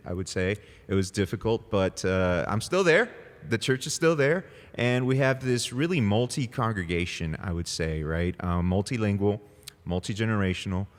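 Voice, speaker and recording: A man in his forties, a Spanish native speaker from El Salvador, chatting easily as a pastor guest on a podcast, with many fillers and laughing asides. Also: on the low side. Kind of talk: casual interview conversation. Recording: long reverb, echoey room.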